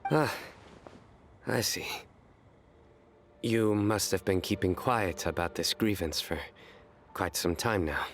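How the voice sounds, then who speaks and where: A man playing a solemn young adventurer in a video game, speaking in a formal, measured, deadpan way. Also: low voice, a little hiss or hum, full character voice. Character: deadpan, solemn young male adventurer who tells bad puns. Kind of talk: animated video game voice acting.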